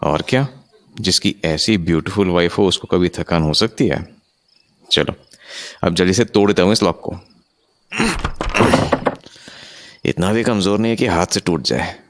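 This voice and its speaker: A man's voice: sits low, normal speed, fairly bright tone, slight background noise. An actor playing an easygoing, dismissive husband and young father in a horror audio drama, speaking colloquially and telling the story. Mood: teasing, indulgent. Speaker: actor and first-person narrator. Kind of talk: Hindi horror audio drama, acted dialogue and narration.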